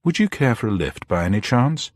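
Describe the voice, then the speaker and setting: A man's voice: mid-range voice, big pitch swings, a little fast, no room sound, studio quality, voice pushed up. A man voicing a cool, matter-of-fact young woman in a radio drama reading. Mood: cool, polite.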